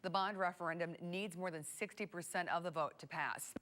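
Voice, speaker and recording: A woman's voice: lowish voice, fairly even intonation, fairly quick, a bit bright. A woman with an American accent reading scripted television news as an anchor in a studio.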